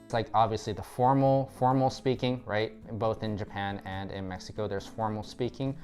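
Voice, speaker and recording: Deep-voiced, casual man. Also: fairly even intonation, normal speed, a little mellow, soft background music. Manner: casual, filler-heavy. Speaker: interviewee. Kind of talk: casual interview answers.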